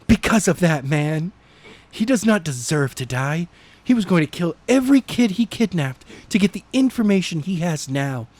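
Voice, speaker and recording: A man's voice: on the high side, darkish tone, no echo, voice pushed up. A man voicing a vengeful, impatient young woman who argues indignantly, in an amateur audiobook reading.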